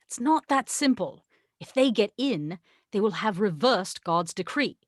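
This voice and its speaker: A woman's voice: mid-range voice, expressive, measured pace, dry recording, studio quality. A woman reading the part of a haughty, sarcastic angel in a script table read, with a wry, exasperated, condescending manner. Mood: grave, insistent. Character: haughty, sarcastic tequila-loving angel, the voice of God.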